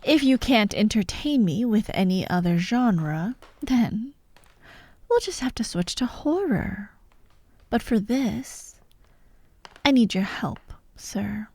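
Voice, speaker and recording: A woman's voice: fairly high pitch, lively intonation, takes it slowly, a little mellow, no echo, exaggerated voice. A woman playing a vengeful fired actress holding hostages in an ASMR audio drama, taunting and theatrical, often dropping to a whisper. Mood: sinister, taunting. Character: vengeful fired actress, sadistic hostage-taker directing victims.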